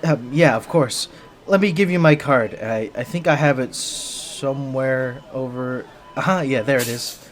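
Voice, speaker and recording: Guy doing a shy bunny boy for asmr roleplay, medium pitch, stutters a lot, flustered n sweet, talking right to you. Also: measured pace, close to an everyday voice. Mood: nervously hopeful. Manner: stammering, hesitant, bashful, earnest. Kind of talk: intimate ASMR roleplay addressed to the listener.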